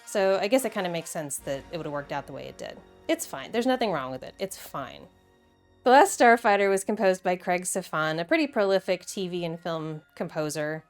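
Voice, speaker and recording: Low-pitched woman giving enthusiastic video commentary. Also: animated, a little fast, soft background music. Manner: conversational, enthusiastic, wry asides. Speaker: video essay host. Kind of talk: casual video essay commentary.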